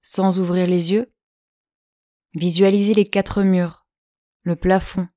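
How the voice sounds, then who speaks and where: A woman with a slightly low voice leads a guided yoga nidra relaxation. She speaks slowly and soothingly, leaving long pauses between instructions. The recording is dry and close, with no room sound. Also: no room sound. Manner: slow, calm, soothing delivery with long pauses.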